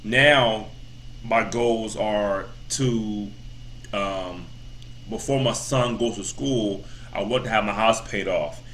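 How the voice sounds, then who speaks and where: A man in his thirties talks casually to his viewers, rambling and circling back on his points with plenty of fillers. His voice has a bright, forward edge, with a faint hum of room ambience underneath. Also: fairly low pitch, slow pace. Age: 30s. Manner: rambling, repeats himself, many "you know" fillers. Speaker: vlogger. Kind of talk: casual motivational talk to camera.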